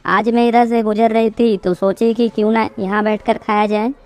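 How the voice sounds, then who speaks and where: A woman's voice: on the high side, level tone, moderate pace, a little mellow, close to an everyday voice. A woman playing a confident, polite and helpful young female police officer in a Hindi cartoon story. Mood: polite, friendly.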